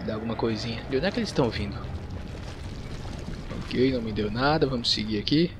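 Casting: Brazilian male gamer, mid-pitched voice, casual play-by-play, clear noise behind him. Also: fast talker. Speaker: gaming YouTuber. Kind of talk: casual gameplay commentary. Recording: noisy, game sound behind voice.

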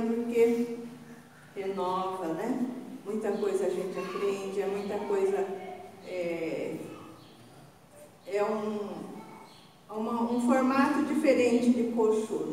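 An older woman from Brazil speaks slowly into a stand microphone, stopping and restarting often as she reads out church notices. Her voice is mellow and rather dark. It rings with a long hall reverb and sounds distant from the mic. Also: medium pitch, slight background noise. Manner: slow, halting, with many fillers and restarts. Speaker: church leader giving announcements. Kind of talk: unscripted church announcements. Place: large church hall indoors, altar behind. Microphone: stand mic a little off. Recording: echoey hall recorded from afar.

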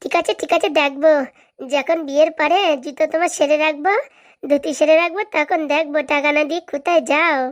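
A woman's voice: high voice, steady tone, a little fast, natural voice. A woman voicing a bossy, scheming village woman in a comic cartoon, scolding and demanding as she haggles. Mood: haggling, defiant.

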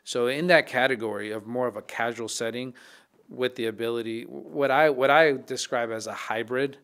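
A man in his thirties, a chef and podcast guest, talking in a reflective, casual way, often restarting his sentences, into a studio mic in a dark podcast studio. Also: mid-range voice, steady tone, normal speed. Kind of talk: casual interview talk. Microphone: studio mic on boom arm.

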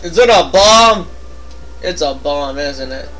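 Higher-voiced playful man, swooping pitch. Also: expressive, takes it slowly, sharp tone, a little hiss or hum. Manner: playful, silly exclamations. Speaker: let's play co-commentator. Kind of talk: casual gameplay banter.

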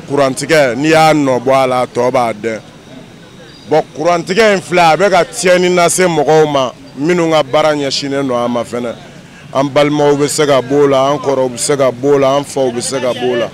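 A young man answering a street interview outdoors, speaking into a handheld mic, earnest and steady as he complains. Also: medium pitch, normal speed. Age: young adult. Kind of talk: vox pop answer. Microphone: handheld mic held by interviewer.

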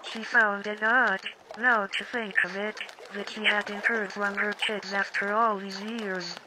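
A woman's voice: medium pitch, flat delivery, a little slow, background noise. A woman's text-to-speech voice narrating a scripted storybook tale. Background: music.

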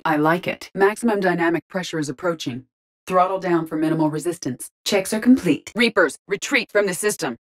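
Female android AI, low pitch, calm, logical, precise diction, formal, measured, video game character. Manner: precise, formal, measured, emotionally restrained delivery. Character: calm, logical female ship artificial intelligence in android body. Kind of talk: scripted video game voice acting.

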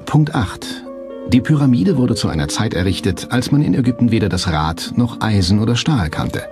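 A man reading scripted documentary narration in a measured, factual manner. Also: fairly low pitch, expressive. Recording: music bed under the voice. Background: background music.

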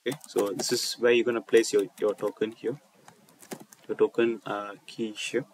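A man presenting an unscripted coding walkthrough over a screen recording, speaking haltingly and often repeating and rephrasing his phrases. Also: fairly low pitch, little pitch movement, slight background noise. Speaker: tutorial presenter.

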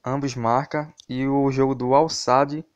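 Brazilian man with an even, flat delivery. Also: medium pitch, a little slow, clean tone, dull audio. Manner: informal, addresses viewers directly. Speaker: host of a football betting tips channel. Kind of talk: casual sports tips commentary over a screen recording.

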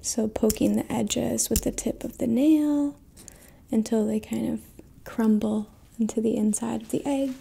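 A young woman hosting an ASMR roleplay, speaking gently and softly to the listener in a hushed voice that slips into whispers. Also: fairly high pitch, fairly even intonation, unhurried, vocal fry, slightly rough. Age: young adult. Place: small dim indoor room at a table.

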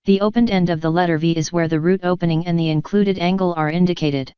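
A woman giving a measured, even, scripted narration as an instructor, explaining technical material step by step. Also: on the low side, smooth, no echo, muffled recording.

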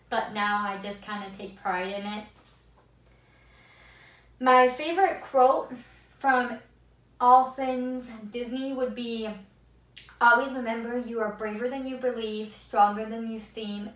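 Young woman, squeaky and fairly high, unhurried enthusiastic vlog chat; roomy sound from a distant camera mic. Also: a bit bright. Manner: enthusiastic, chatty, seated and talking to camera. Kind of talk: casual vlog monologue. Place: small room indoors. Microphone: far camera. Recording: echoey room, mic at a distance.

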